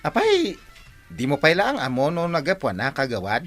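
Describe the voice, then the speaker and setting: A man's voice: fairly high pitch, wildly swooping pitch, normal speed, fairly bright tone, faint music behind. A man acting a cheeky, tattling village watchman in an Ilocano comedy drama, exaggerated and teasing, with an Ilocano accent.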